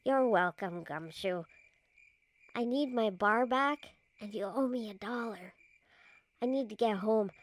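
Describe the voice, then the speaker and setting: Woman as a high-voiced cheeky street girl. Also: measured pace, natural voice. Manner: cheeky, demanding. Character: cheeky, streetwise neighborhood girl, bossy and bold. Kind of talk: old-time radio acting.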